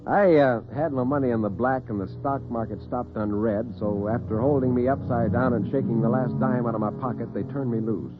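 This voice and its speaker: An American man telling dramatic tales as host and storyteller in classic radio style, theatrical and expressive. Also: mid-range voice, fairly quick, few pauses. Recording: narrow-band vintage radio recording, muffled.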